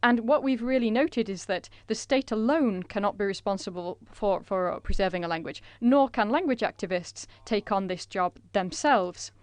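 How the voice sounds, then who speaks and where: A woman interpreting a conference presentation in a measured way, with frequent filler pauses. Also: mid-range voice, lively intonation, smooth, dry recording.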